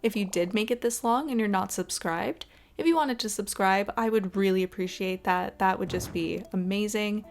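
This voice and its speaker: A woman's voice: medium pitch, normal speed, creaky, few pauses, a little hiss or hum. A woman hosting a gaming video with casual, chatty, encouraging commentary in an informal way. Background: background music.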